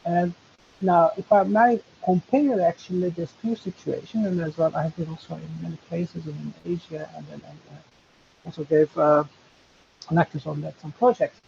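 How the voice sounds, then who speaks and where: Halting professor on a video call. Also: male voice, on the high side, steady tone, vocal fry, darkish tone. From Turkey. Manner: halting, many fillers and restarts. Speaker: professor and panelist. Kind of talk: webinar panel talk. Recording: dull lo-fi video call audio with faint hiss.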